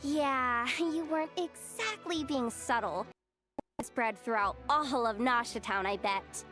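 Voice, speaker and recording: A woman's voice: a little high, slow, pushed and bright, soft background music. A woman voicing a cocky, scheming young bounty hunter in a video game, boastful and theatrical. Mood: smug.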